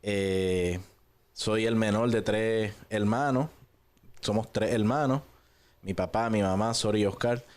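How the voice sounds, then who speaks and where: Puerto Rican male guest, lowish voice, unhurried conversational testimony with hesitant pauses. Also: fairly even intonation. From Puerto Rico. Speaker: guest giving a personal testimony. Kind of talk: relaxed conversational storytelling.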